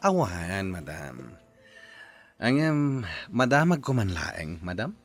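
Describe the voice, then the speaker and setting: A man's voice: deep voice, sing-song, drawn-out words, full character voice. A man playing a nosy young house servant who hesitantly but bluntly questions his rich employer in a radio drama. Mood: probing. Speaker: servant, Dodong.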